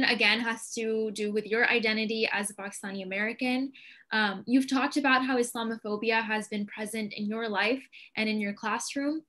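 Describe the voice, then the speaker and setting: A woman's voice: on the high side, bright, forceful tone. A woman moderating a live webinar, reading prepared questions with frequent "um" fillers. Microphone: video call.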